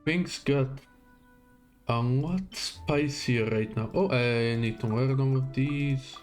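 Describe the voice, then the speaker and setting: A man's voice: medium pitch, takes it slowly, faint music behind. A man giving casual live gameplay commentary, muttering to himself in short fragments. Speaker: game livestreamer.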